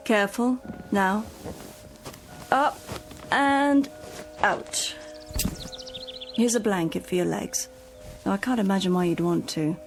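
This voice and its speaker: A woman's voice: mid-range voice, steady tone, takes it slowly, pauses often. An actress playing a fussy, fretful woman who looks after an invalid in a vintage television drama. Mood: exasperated. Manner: scolding, fretful. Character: fussy, exasperated female companion to an invalid. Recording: vintage TV soundtrack, faint music behind.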